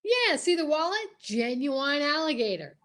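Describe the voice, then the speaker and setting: A high-pitched, cheeky comic stooge from an old radio comedy , played by a woman. He is dim and eager, swinging up and down as he spins tall tales toward silly punchlines. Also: very animated, normal speed, a bit bright. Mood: boastful.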